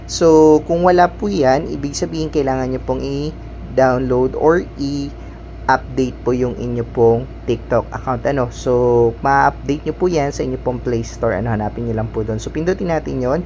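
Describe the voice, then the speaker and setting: A man's voice: mid-range voice, moderate pace, a little mellow. A man hosting an informal step-by-step app tutorial, speaking politely and instructively. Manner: polite, instructional, repeats verbal tags. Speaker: tutorial host. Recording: clearly noisy background hum.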